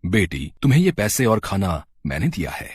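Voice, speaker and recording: Guy doing a kind fatherly magic tree for a kids story, husky and expressive, dry recording. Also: low voice, moderate pace, no echo, exaggerated voice. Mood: kindly. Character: kindly magical talking tree, fatherly and helpful.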